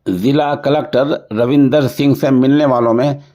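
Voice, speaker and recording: A man presenting news and commentary in a formal, earnest, deliberate manner. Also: medium pitch, steady tone, mellow. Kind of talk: news report with commentary, formal Urdu register. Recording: dull, lo-fi voice recording.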